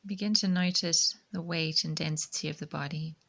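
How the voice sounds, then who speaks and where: A woman teaching meditation in a gentle, calming, soft-spoken way, her phrases often trailing into breathy near-whispers. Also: low voice, normal speed.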